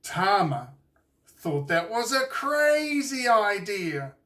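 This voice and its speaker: A man with a New Zealand accent reading a children's storybook aloud in a deliberate, expressive storytelling way. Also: high voice, recorded from a distance. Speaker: children's author reading his own book. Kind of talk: scripted storybook narration for children.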